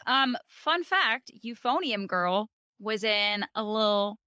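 A woman's voice: medium pitch, expressive, slow pace, sharp tone, clear, resonant tone, no echo, clean studio sound. A young woman co-hosting a casual TV recap chat, telling anecdotes emphatically in very informal speech. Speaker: podcast co-host.

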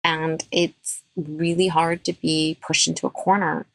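A woman's voice: deep voice, little pitch movement, measured pace, gravelly, a bit bright, dry recording. A woman dentist, a guest on a podcast interview, giving firm and emphatic advice.

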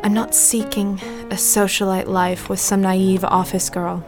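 An actress plays a bitter housewife who likes her drink. Her mid-pitched voice is curt, cynical and cutting, in period audio drama style over faint music. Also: little pitch movement, unhurried, lightly acted. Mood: scornful. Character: bitter, sardonic, hard-drinking kept-away housewife.

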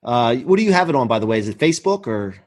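Host, fast husky delivery, slightly high voice, eager. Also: male voice, big pitch swings. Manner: laughs often, quick and eager. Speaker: podcast host. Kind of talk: casual interview chat.

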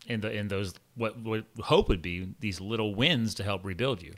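A man's voice: lowish voice, moderate pace. A man hosting an interview over a video call, chiming in with short affirming reactions. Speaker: podcast host. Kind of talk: interview conversation.

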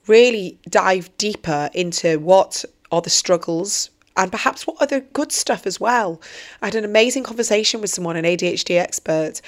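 A woman in her forties speaks in a slightly low, hoarse voice. She is earnest and reflective as she hosts a solo podcast episode. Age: 40s. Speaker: podcast host and wellbeing coach. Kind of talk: solo podcast trailer monologue.

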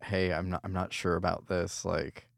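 A man's voice: deep voice, little pitch movement, moderate pace, vocal fry, dry recording, studio quality. A man talking as a guest on a podcast about his work as a recording engineer, earnest and blunt about the craft. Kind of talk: casual podcast chat.

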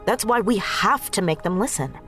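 A woman's voice: medium pitch, lively intonation, normal speed, a bit bright, soft background music, lightly acted. A woman playing a blunt, plain-spoken young activist leader in a naturalistic audio drama. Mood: firm, confrontational.

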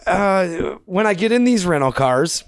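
A man with a raspy voice, a guest on a casual podcast chat, talking in halting starts and restarts and laughing easily. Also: high voice, lively intonation, no echo, studio quality. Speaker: guest correspondent.

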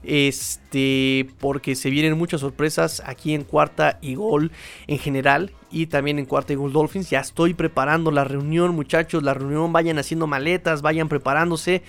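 A man's voice: on the high side, steady tone, moderate pace. A man with a Mexican accent hosting a solo sports podcast, chatting informally and colloquially as he gives casual commentary.

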